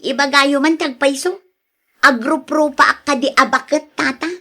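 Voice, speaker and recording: A woman's voice: sits high, fairly even intonation, normal speed, sharp tone. A woman playing a young single woman fending off old suitors in a radio drama, plain-spoken and exasperated, with an Ilocano accent. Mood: exasperated, apologetic.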